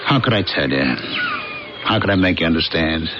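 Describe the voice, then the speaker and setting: Bewildered, homesick American working man, plain-spoken, lowish and animated, 1980s radio drama, noisy narrow recording. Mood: wistful, explaining. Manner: halting, plain-spoken, conversational with hesitations. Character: homesick, bewildered American factory foreman stranded as a god. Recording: noisy, band-limited old radio broadcast recording.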